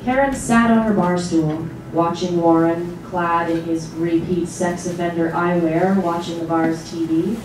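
A woman's voice: on the low side, fairly even intonation, unhurried, few pauses. A woman giving a dramatic reading of literary narration on stage. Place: small indoor theatre stage before an audience. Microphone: distant camera microphone. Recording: echoey hall, far microphone, noisy.

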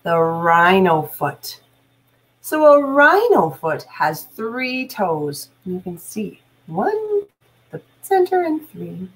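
Slow-paced, lively-pitched woman teacher. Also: rough recording. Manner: deliberate, with questions put to young listeners. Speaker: teacher reading aloud to children. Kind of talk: read-aloud with explanatory asides to children. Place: small room at home, indoors.

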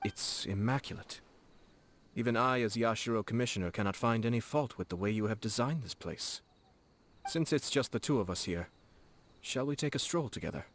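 An actor playing a courteous, composed young nobleman in a video game, speaking formally and softly with a gently teasing manner. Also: medium pitch, lively intonation, slight background noise, natural voice. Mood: pleased, courteous. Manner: formal, measured, politely playful. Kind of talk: video game character dialogue. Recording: studio voice over game music. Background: game background music.

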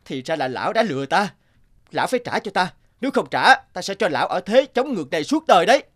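A man's voice: sits high, very animated, quick pace, fairly bright tone, full character voice. A man voicing a burly, gullible young woodcutter with a boastful, hot-tempered streak in a cartoon. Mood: determined. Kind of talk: cartoon voice acting.

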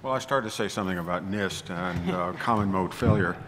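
A man's voice: low-pitched, brisk, a bit bright, a little hiss or hum. A middle-aged man speaking on a conference panel, thoughtful and digressive, restarting his sentences. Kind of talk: panel discussion. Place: large conference hall. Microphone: table microphone. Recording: reverberant conference hall.

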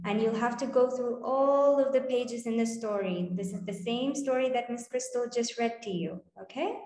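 A cheerful young woman with a fairly high voice hosts a children's online session. She is encouraging as she explains, and the video call sounds echoey, as from a bare room. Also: a little mellow. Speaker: host of children's online storytime. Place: indoor room with bare wall. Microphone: video call, computer microphone. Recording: roomy, echoing video call.

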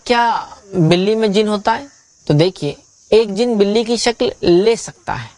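A man's voice: high-pitched, no echo. Male preacher, middle-aged, studio lecture; insistent, restating every point, dry recording. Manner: repetitive, emphatic explaining with many restatements. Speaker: Islamic preacher. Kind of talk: religious lecture answering a question.

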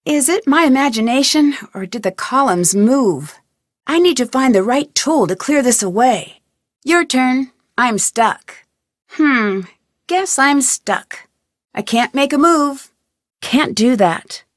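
A woman's voice: sits high, lively intonation, takes it slowly, dry recording. An actress voicing a young female amateur detective in a studio game, thinking aloud in an inquisitive, lightly wry way. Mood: curious. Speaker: video game protagonist. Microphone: close studio mic.

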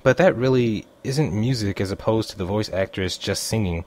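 A man's voice: fairly low pitch, normal speed, over loud music. A man reading a scripted review as an online critic, in voice-over. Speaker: anime reviewer.

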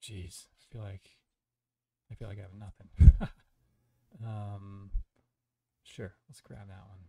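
Low-voiced guy muttering through a card game, hesitant, kinda far from the mic. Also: little pitch movement, slow pace, vocal fry, dark tone, slightly rough, no room sound. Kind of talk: casual game chat. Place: online voice call over a card game.

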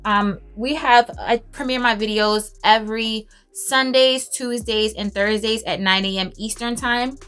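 A woman's voice: a little high, fairly even intonation, a little slow, hardly any pauses, a bit bright, smooth. A woman, chatting casually and emphatically while doing her makeup in a home closet. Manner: chatty, emphatic, self-assured, laughs often. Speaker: beauty vlogger. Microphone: camera on a stand, close.

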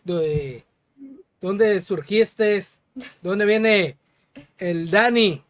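A man's voice: high-pitched, very slow. A man hosting a casual interview, asking questions and interjecting informally. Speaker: interviewer, host.

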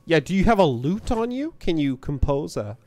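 A man's voice: fairly high pitch, very animated, normal speed, a little mellow, no room sound. A man co-hosting a game stream, chatting casually with wry, sarcastic complaints. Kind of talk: casual gaming chat.

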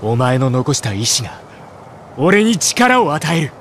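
An actor playing a stern, cold young soldier captain in an anime game, speaking curtly and gruffly. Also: male voice, mid-range voice, very animated, a little slow, a bit bright, a little hiss or hum. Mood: grave resolve. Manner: curt, gruff, terse. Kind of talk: Japanese anime game voice acting.

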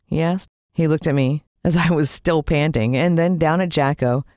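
A woman's voice: low voice, moderate pace, a little mellow, dry recording, clean studio sound. A woman with an American accent narrating a story in a dramatic, suspenseful way, drawing out words. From United States. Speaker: audiobook narrator.

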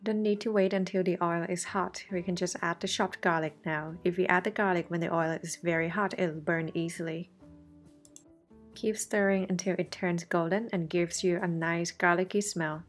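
A woman's voice: lowish voice, fairly even intonation, moderate pace, faint music behind. Reassuring woman narrating a cooking lesson. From Thailand. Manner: friendly, reassuring step-by-step explaining. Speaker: cooking show host. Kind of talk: voice-over cooking instructions.

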